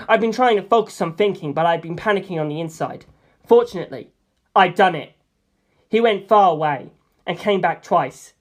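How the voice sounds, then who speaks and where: A woman reading a novel aloud as a scripted first-person narration. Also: mid-range voice. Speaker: amateur audiobook reader.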